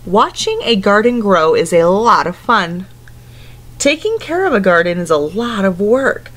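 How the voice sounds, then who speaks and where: A woman in her thirties reads to small children in a teacher's manner, at a medium pitch. She keeps her phrasing simple and mixes the book's text with questions and asides to her listeners. It is recorded at home in a living room. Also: very animated, measured pace, fairly bright tone. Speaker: teacher reading aloud to children. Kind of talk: picture-book read-aloud with asides and questions to young listeners.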